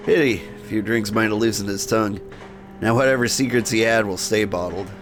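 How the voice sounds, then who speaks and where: A man's voice: lowish voice, brisk, close to an everyday voice. Male player as brooding minotaur antihero, wry and tired, narrating a Victorian game over music. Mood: wry, weary. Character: world-weary male Victorian minotaur, wry, struggling with vices. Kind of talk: first-person game narration in character.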